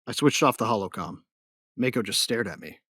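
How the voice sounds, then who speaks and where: A man's voice: lowish voice, expressive, fairly quick, fairly bright tone, breathy edge, no room sound, clean studio sound. A man playing a young bounty hunter in a sci-fi audio drama, telling his story in a wry, laid-back, cocky way. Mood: wry narration. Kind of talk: first-person audio drama narration.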